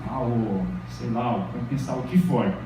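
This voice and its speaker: A man's voice: medium pitch, a little slow, warm tone, slight background noise. A middle-aged Brazilian man gives an informal university lecture. He talks in a chatty way and keeps checking in with the audience. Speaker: university professor giving a lecture. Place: large echoey lecture hall. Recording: very echoey hall from far off.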